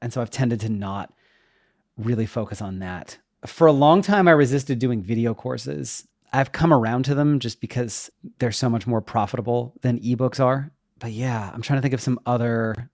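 A middle-aged man in a casual podcast conversation. He explains himself reflectively in a mid-pitched voice with a creaky edge. The sound is dry, with almost no room. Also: darkish tone, no echo. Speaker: interview guest, web developer and educator.

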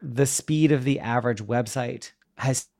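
A man's voice: mid-range voice, measured pace, gravelly, darkish tone, no echo, clean studio sound. A middle-aged man talking reflectively in a conversational interview, in a hesitant, thoughtful, self-questioning manner. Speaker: podcast guest, web developer and educator.

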